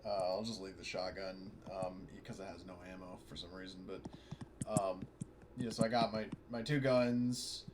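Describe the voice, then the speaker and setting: A man playing an online tabletop role-playing game, talking casually and hesitantly, often rambling and correcting himself. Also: lowish voice. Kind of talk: casual in-character and out-of-character game talk. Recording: clearly noisy online voice chat.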